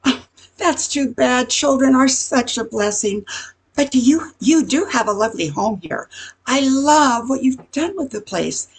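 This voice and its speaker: An older woman playing a nosy, gossiping neighborhood busybody in an amateur radio comedy, chatty and insinuating with a husky, creaky voice. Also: high-pitched, animated, a little slow, exaggerated voice.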